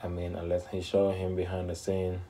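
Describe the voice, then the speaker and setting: A man in his thirties hosting a casual reaction video from a small room at home, talking in a relaxed, rambling way with lots of fillers into a close webcam mic. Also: deep voice, normal speed. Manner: laid-back, rambling, many fillers.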